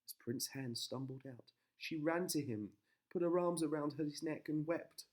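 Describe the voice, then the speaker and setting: A man's voice: a little high, measured pace, a little mellow. A man narrating a children's bedtime story as a dramatic storyteller.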